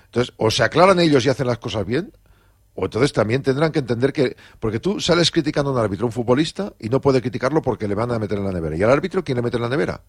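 A man, a radio presenter arguing a sports opinion, fast and indignant. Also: mid-range voice, animated, breathy edge, no echo, clean studio sound.

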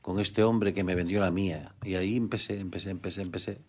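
A middle-aged man with a fairly low voice answers interview questions in an earnest, halting way. He often restarts his sentences and stretches out fillers as he thinks. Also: steady tone, darkish tone, slightly rough. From Canary Islands. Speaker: guest, rural real estate agent. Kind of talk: conversational interview.

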